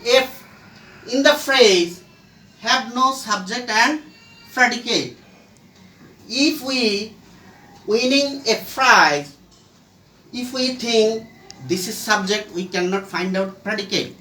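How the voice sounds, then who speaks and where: A teacher giving an English grammar lesson in a halting, deliberate way, in short fragments. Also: mid-range voice, bright, forceful tone. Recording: echoey room, distant mic, faint noise.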